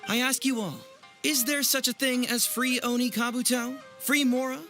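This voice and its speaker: An actor playing a clever, teasing young male detective and smooth presenter in a video game, speaking in a wry, theatrical way. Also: extremely high pitch, fairly even intonation, moderate pace, pushed and bright, soft background music. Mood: dramatic presenting. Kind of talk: animated video game voice acting.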